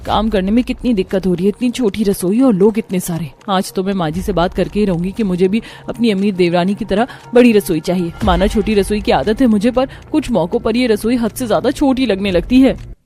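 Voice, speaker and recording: A woman's voice: mid-range voice, brisk, warm tone, natural voice. A woman playing a harried, grumbling poor elder daughter-in-law in a cartoon family story. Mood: overwhelmed. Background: background music.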